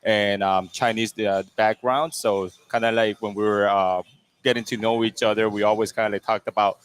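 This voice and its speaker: A man from Venezuela, a chef and restaurant co-owner, talking in a relaxed, rambling way, full of fillers, in a restaurant. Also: fairly low pitch, moderate pace, clean tone. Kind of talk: casual interview answers.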